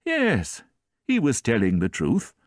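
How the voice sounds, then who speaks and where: A man doing a gentle, kindly old priest. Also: medium pitch, wildly swooping pitch, dry recording, clean studio sound, pitched above the performer's own voice. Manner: soft, warm, unhurried.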